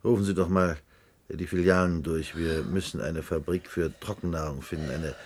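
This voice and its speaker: Weary male official, very low husky voice, restrained, curt, radio drama. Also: steady tone, normal speed. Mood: weary, matter-of-fact. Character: reserved, weary male official of a dying Earth. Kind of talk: German radio play acting.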